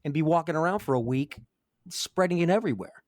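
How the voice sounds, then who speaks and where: A man hosting a podcast, asking questions in a relaxed, curious, conversational way. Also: a little high, a little fast, dry recording, clean studio sound. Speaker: podcast host. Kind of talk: conversational interview.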